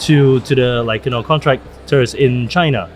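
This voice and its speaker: A man's voice: medium pitch, normal speed. A man hosting a podcast interview at a trade event, talking in a hesitant way with many fillers and restarts. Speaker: podcast host. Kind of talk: conversational interview.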